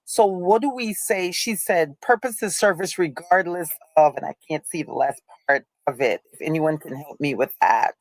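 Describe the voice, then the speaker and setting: A woman's voice: low voice, moderate pace, few pauses, no echo. A middle-aged woman hosting a panel discussion, reflecting aloud and addressing the audience. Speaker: panel host.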